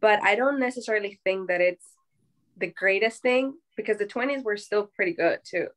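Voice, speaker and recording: A woman in her thirties chatting as a guest in a thoughtful, measured way. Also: mid-range voice. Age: 30s. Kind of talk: casual group chat.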